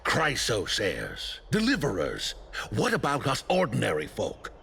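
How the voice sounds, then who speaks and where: An aggrieved delegate in a fantasy game. His voice is gravelly and very husky, with big dramatic pitch swings. He sounds resentful on behalf of ordinary folk. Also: wildly swooping pitch, a little slow, bright, forceful tone, exaggerated voice. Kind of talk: scripted game dialogue.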